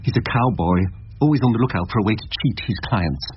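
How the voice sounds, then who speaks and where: A man's voice: lowish voice, lively intonation, fast, lightly acted. An actor playing a grieving, bitter English father in his sixties in a radio drama, insistent and clipped in his speech. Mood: bitter, indignant. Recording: dull, noisy lo-fi radio drama recording.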